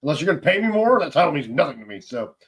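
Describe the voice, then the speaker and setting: A man's voice: on the high side, sing-song, racing. A man hosting a podcast, laid-back and easy to laugh, chatting casually. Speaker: podcast host. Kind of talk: casual interview chat.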